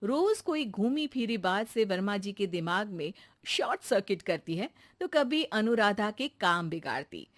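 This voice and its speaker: A woman's voice: medium pitch, big pitch swings, measured pace, few pauses. A woman reading scripted narration for a comic bedtime story.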